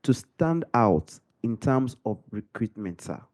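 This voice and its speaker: A man's voice: medium pitch, sing-song, takes it slowly, a little mellow. A man hosting a podcast interview in a formal, deferential way, often addressing the guest as sir. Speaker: podcast host.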